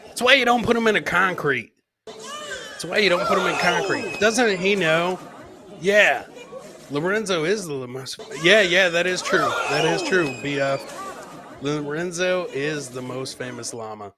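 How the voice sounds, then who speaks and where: A man's voice: on the high side, big pitch swings, a little slow, noisy background. A man hosting a casual live comedy podcast, rambling and jokey, often repeating his own phrases. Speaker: podcast host.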